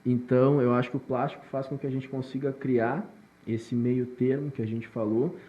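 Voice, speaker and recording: A man's voice: mid-range voice, level tone, moderate pace, highs cut off. A male podcast host who asks long, rambling questions full of restarts. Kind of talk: podcast interview.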